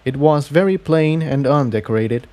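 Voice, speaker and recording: A man's voice: mid-range voice, normal speed, a little mellow. A man reading scripted fiction as an audiobook narrator.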